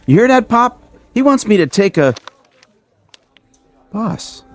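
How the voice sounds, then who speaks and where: A man's voice: high voice, sing-song, slow pace, heavily acted. An actor playing a down-on-his-luck American ex-ballplayer, earnest and doubtful, in a hesitant, plain-spoken radio drama style. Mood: amazed, puzzled. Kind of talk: vintage radio drama acting.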